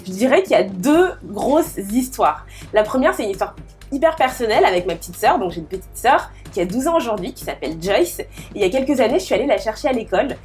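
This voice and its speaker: A woman's voice: fairly high pitch, sing-song, quick pace, a little mellow, faint music behind. A woman in her thirties from the Paris region, a guest in an interview who talks casually with frequent fillers. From Paris region, France. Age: 30s. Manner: chatty, with many fillers and restarts. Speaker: guest entrepreneur.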